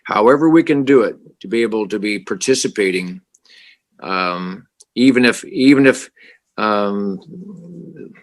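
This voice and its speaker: A man on a city council who speaks loudly and haltingly, often restarting his sentences, calling in to the meeting remotely. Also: fairly low pitch, animated. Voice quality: loud voice. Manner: halting, many repeated starts, earnest. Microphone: remote video call.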